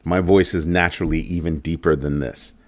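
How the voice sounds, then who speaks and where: A man with a very low, deep voice hosts a casual crypto livestream. He is middle-aged and talks loosely to his viewers, repeating phrases and tossing in playful asides. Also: fairly even intonation, fairly quick. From United States. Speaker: crypto show host.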